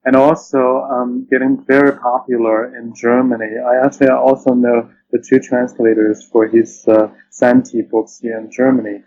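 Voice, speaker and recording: A slightly muffled recording with some faint noise behind it carries a man's mid-pitched voice. He speaks conversationally as an interview guest. His delivery is halting, and he often repeats a phrase before going on. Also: little pitch movement, a little mellow. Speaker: podcast guest, literary translator.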